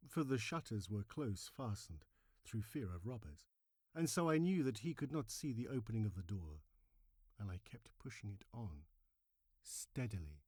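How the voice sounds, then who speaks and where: An agitated madman , acted out by a male reader, narrates a horror storybook tale. His delivery is theatrical and emphatic, swinging widely in pitch between hushed drops and sudden swells. The sound is dry and close. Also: husky, no room sound. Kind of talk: dramatic horror story reading.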